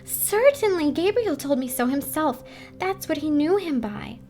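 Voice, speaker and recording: A woman's voice: sits high, animated, measured pace, a little mellow, faint music behind, voice pushed up. A woman voicing a frightened, superstitious young ballet girl, chattering insistently in a breathless, gossipy way for a storybook reading. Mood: breathless gossip.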